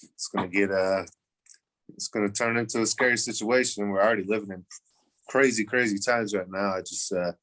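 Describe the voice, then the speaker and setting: A man co-hosting a sports podcast, talking in a measured, hesitant way with many uhs. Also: on the low side, steady tone, fairly bright tone. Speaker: podcast co-host. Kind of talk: casual sports podcast chat.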